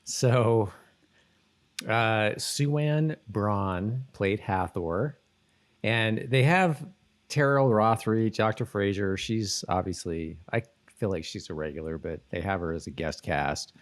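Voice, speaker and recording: A man's voice: on the low side, takes it slowly. A man co-hosting a podcast in a casual chat, informal and thinking aloud as he goes. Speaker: podcast co-host.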